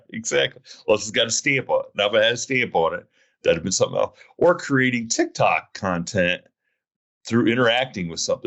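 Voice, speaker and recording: A man with a very low voice hosts a casual podcast chat. He tells long, meandering stories and often makes fun of himself. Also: vocal fry, a bit bright, highs cut off. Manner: rambling storyteller, self-mocking. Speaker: podcast host.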